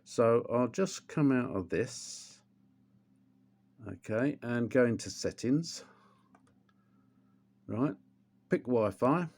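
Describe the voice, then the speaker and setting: A man's voice: mid-range voice, lively intonation, takes it slowly, creaky, long pauses, darkish tone. An older man, chatting casually through a how-to demonstration at home, rambling with plenty of ums and restarts. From England. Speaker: motorcycle vlogger.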